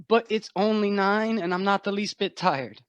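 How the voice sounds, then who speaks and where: An actor playing a restless young apprentice in a radio play, politely pleading and wheedling. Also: male voice, high-pitched, moderate pace, no room sound, highs cut off, close to an everyday voice. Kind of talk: old-fashioned radio play acting.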